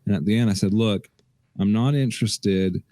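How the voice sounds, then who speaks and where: Podcast co-host, young man, deep voice, laid-back storytelling, lots of restarts, dry studio sound. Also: moderate pace, no room sound. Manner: relaxed, rambling, restarts a lot. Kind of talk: casual chat.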